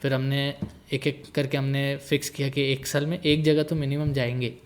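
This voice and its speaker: Young man, guest, relaxed and jokey, mixes english words into his talk, mid pitch. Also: moderate pace. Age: 20s. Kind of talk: casual conversation.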